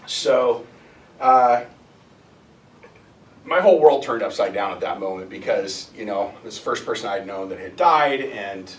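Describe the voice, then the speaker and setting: A man in his fifties giving a halting, earnest storytelling talk as a guest speaker at a spiritual center. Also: medium pitch, a little hiss or hum. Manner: halting, many restarts and fillers, earnest. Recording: echoey room, mic at a distance, faint ambience.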